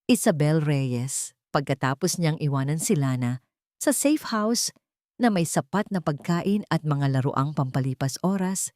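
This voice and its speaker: A woman with a Filipino accent narrates a scripted melodrama. Her voice sits a little low yet moves through a very animated range of pitch. The recording is dry and close, with little room sound. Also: measured pace, dry recording. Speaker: story narrator.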